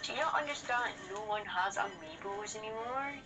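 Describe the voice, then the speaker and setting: A woman's voice: mid-range voice, a little fast, poor audio. A woman chatting casually over gameplay as co-host, blunt and given to swearing. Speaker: co-host of a gaming show. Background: video game music.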